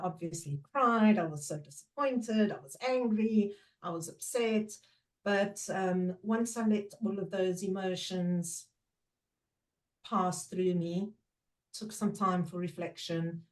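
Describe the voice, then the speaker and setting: Lower-pitched woman speaking measured, reflective English. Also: low-quality recording. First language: Portuguese. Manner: thoughtful, measured, pauses with fillers. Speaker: guest, trainer and former teacher. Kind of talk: reflective podcast conversation.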